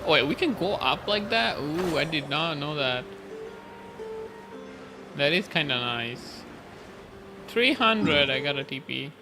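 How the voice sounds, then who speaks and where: A man's voice: on the high side, lively intonation, slow, pauses often. A man giving casual gameplay commentary, muttering offhandedly to himself as he plays. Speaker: game streamer. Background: game music.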